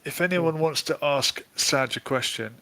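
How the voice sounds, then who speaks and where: A man's voice: fairly high pitch, measured pace, bright, forceful tone. A man hosting a casual live stream over a video call, with jokey, wry asides. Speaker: host.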